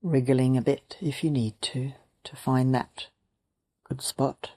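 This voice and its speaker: A woman meditation teacher leading a guided meditation in gentle, sparse phrases with long pauses between them. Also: extremely low pitch, fairly even intonation.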